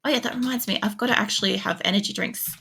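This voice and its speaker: A woman's voice: mid-range voice, fast talker, gravelly, bright, forceful tone, slightly rough. A young Australian woman with an Australian accent streaming a game, chatting casually with viewers, rambling with lots of fillers and swearing.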